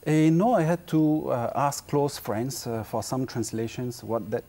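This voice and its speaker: A French man speaking English with a French accent as a guest, answering haltingly with fillers. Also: medium pitch, steady tone, warm tone. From France.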